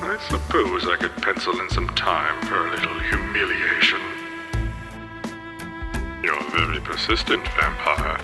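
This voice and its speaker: Deep-voiced, smug rival. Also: male voice, measured pace, music in the background. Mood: smug, mocking. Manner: taunting, formal, superior. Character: smug, condescending male rival to a vampire. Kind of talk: video game acting.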